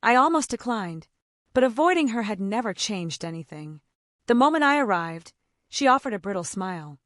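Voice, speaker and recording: A woman's voice: mid-range voice, very animated, normal speed, fairly bright tone, no echo, clean studio sound. A woman delivering dramatic first-person scripted narration for an audio story.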